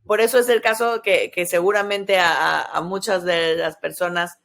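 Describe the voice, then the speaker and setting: A woman's voice: lowish voice, steady tone, normal speed, vocal fry, a bit bright. A woman in her early forties with a Mexican accent, hosting a podcast and explaining things step by step, often checking in with short tag questions. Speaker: podcast host, sexologist. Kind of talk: conversational explanatory podcast talk.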